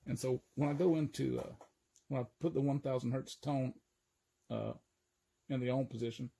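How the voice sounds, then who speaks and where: An older man giving an informal, hands-on equipment demonstration, explaining step by step in a halting, methodical way. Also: mid-range voice, steady tone, gravelly, slightly rough. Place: indoor room full of audio gear. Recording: loud noise and electrical hum.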